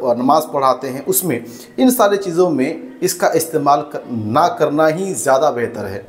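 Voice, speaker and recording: Male religious commentator, moderately high pitch, didactic, measured, cautioning delivery to an audience of viewers.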